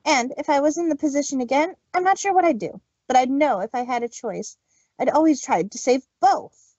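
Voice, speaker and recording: A woman voicing a brash, loyal, defiant female pegasus pony in a cartoon fan fiction audio drama, husky and emphatic. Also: high-pitched, animated, fairly quick, a little mellow, dry recording, muffled recording. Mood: earnest, resolute.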